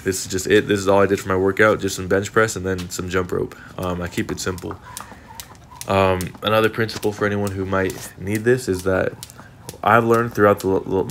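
Congested young man, lowish voice. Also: slight background noise. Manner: earnest, reflective, rambling. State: congested, sniffling. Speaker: fitness vlogger. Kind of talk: casual voice-over monologue.

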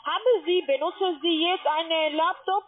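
A woman with a foreign accent in German, a non-native speaker, making a halting, repetitive sales call. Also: extremely high pitch. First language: not German. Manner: halting, repetitive, broken grammar. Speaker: call center telemarketer. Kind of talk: scripted phone sales pitch. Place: call center, on the phone. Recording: muffled, tinny phone line.

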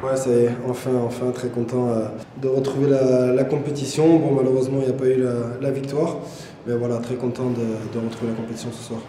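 A man giving a halting post-match interview, repeating words, speaking into a handheld mic in a stadium corridor. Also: mid-range voice, level tone, roomy sound, noisy background. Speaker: footballer.

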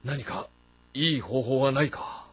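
A husky, commanding ancient warlord. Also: male voice, mid-range voice, unhurried, a bit bright. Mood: brooding. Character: shrewd, commanding middle-aged male warlord of ancient China. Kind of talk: Japanese historical drama game acting. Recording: music behind the voice. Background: background music.

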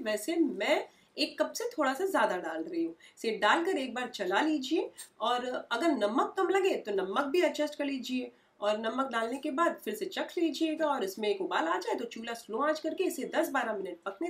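A woman's voice: high-pitched, far from the mic. A woman, hosting a home cooking tutorial and explaining each step in a homely way, recorded in her kitchen. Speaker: cooking channel host.

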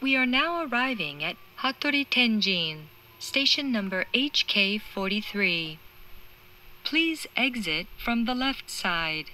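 A woman reading scripted train station announcements, formal and deliberate, with each word clearly enunciated. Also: fairly high pitch, big pitch swings, sharp tone, poor audio. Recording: train noise, recorded inside carriage. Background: moving train interior noise.

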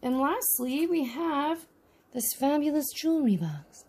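A woman's voice: sits high, big pitch swings, measured pace, darkish tone. A woman casually narrating a miniature unboxing with chatty, wry asides. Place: indoors at a tabletop.

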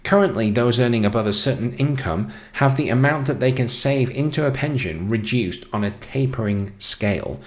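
A man narrating a scripted explainer as presenter, in a measured, announcing manner. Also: medium pitch, expressive.

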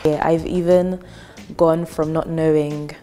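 A woman's voice: low voice, level tone, takes it slowly, dark tone. A woman in her twenties, a student speaking casually in a testimonial interview with a lapel mic. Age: 20s. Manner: casual, chatty with fillers. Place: indoor hall with wooden wall. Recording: reverberant hall with light music.

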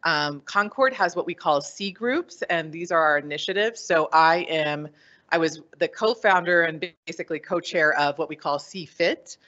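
Panelist: low, bright-toned woman listing duties, muffled room recording. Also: normal speed. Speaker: panelist, hotel general manager. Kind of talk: panel discussion. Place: hotel conference room with an audience. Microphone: handheld microphone. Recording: dull lo-fi sound.

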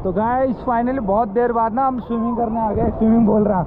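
A man's voice: very high voice, fairly quick, narrow, tinny audio, over music. Young man vlogging from his bike. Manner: chatty, excited, addresses viewers as brothers. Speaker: cycling vlogger. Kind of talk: casual vlog narration while riding. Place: outdoors on a bicycle on roads and riverside. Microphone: action camera held at arm's length. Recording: loud wind and traffic noise outdoors.

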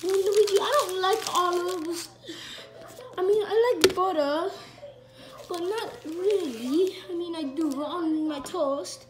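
A woman's voice: extremely high pitch, takes it slowly. A woman voicing a fussy, complaining middle-aged male plumber plush toy in a home kitchen, recorded on a handheld phone. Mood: whiny complaining. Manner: whiny, complaining.

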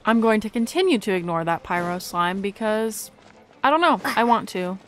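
A woman's voice: mid-range voice, expressive, moderate pace. A young woman giving casual, informal playthrough commentary, chattily thinking aloud as she plays. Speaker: gaming video creator. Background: game music.

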